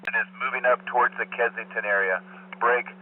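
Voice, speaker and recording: A man's voice: medium pitch, steady tone, fairly quick, husky. A man speaking as a fire operations officer over two-way radio, giving clipped, procedural orders. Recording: far-off, tinny phone-like fire radio, faint noise.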